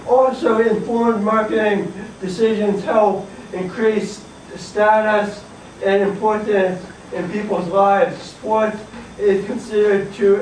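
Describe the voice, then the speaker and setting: A man's voice: high voice, darkish tone. A teenage boy giving a nervous, stumbling class presentation in a school classroom, recorded by a camera across the room. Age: teens. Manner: nervous, laughing, stumbling over words. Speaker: student presenter. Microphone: far camera. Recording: echoey classroom from far off, faint hiss.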